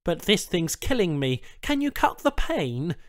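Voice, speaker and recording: An anxious, indignant human prisoner, played by a male audiobook reader, his voice lifted well above a normal talking pitch. He protests and questions with wry disbelief, bargaining with his captors in a science fiction story, recorded dry and close. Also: big pitch swings, unhurried, no echo. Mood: uneasy, bargaining. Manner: protesting, questioning, wryly incredulous.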